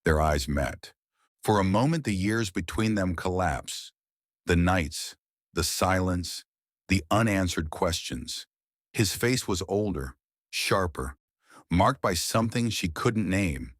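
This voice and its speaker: A man reading a noir thriller audiobook in a measured, brooding style. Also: sits low, expressive, dry recording, studio quality. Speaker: audiobook narrator. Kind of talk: scripted audiobook narration.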